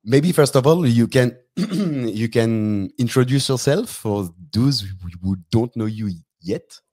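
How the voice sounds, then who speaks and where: A man's voice: mid-range voice, slow pace, mellow, dry recording, studio quality. A man with a French accent interviewing in English as his second language, hesitant and searching for words. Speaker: interviewer, podcast host.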